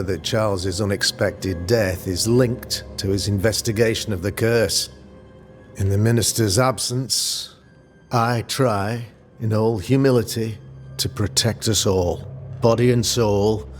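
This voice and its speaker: An actor playing a solemn, self-regarding older town governor in a video game, speaking in formal, measured, archaic phrasing. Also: male voice, fairly low pitch. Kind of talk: dramatic video game acting. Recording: music under the voice.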